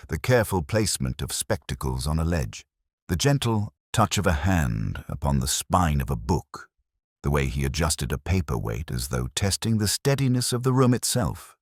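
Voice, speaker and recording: Very deep-voiced man narrating a detective story. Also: slightly rough, dry recording, clean studio sound. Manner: measured, literary, formal delivery. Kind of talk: scripted first-person detective story narration.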